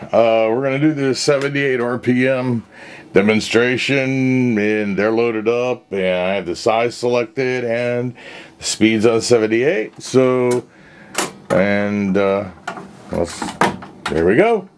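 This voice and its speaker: A man's voice: mid-range voice, slow pace, clear, resonant tone. A man giving a casual, unscripted, plain-spoken demonstration as a hobbyist restorer, recorded indoors on a camera's built-in microphone.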